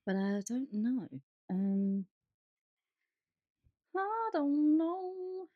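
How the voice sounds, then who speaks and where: A woman's voice: high-pitched, sing-song, drawn-out words, no room sound, dull audio. Informal woman podcaster, close dry sound. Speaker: podcast co-host. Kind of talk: casual podcast chat.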